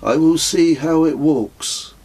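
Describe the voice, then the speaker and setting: Audiobook role, performed by a male narrator: big bearded walker, mournful, halting, husky, pitched up in complaint. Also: a little mellow. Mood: miserable. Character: huge bearded man, mournful, miserable pilgrim.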